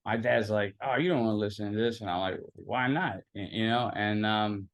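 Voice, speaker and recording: A man's voice: on the low side, normal speed. A young man from Philadelphia whose first language was Italian, speaking as an interview guest in a loose, rambling way with many fillers. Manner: rambling, filler-heavy, storytelling. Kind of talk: casual interview conversation.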